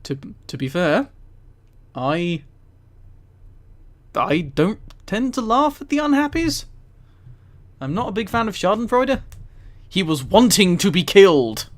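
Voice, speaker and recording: A man's voice: high-pitched, very animated, takes it slowly, pauses often. A man casually reading aloud on a livestream and commenting, easily amused and giggly. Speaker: streamer.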